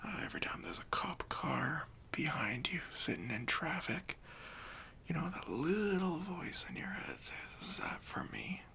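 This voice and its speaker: A man playing a kindly, reassuring bank robber in a soft, breathy ASMR roleplay, speaking gently and casually to an accomplice. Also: fairly high pitch, sing-song, a little slow, heavily acted. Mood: soothing, reassuring. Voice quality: breathy, near-whispered.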